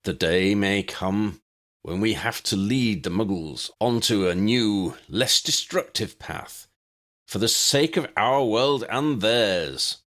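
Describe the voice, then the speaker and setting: A grave wizard orator played by a male narrator delivers a rallying speech in a low voice. His pitch swings widely as he stresses key words and leaves dramatic pauses, as in a fantasy audiobook. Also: animated, fairly bright tone. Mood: solemn rallying. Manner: rhetorical, measured phrases with emphatic stresses and dramatic pauses. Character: charismatic male wizard demagogue rallying a meeting, impassioned and grave.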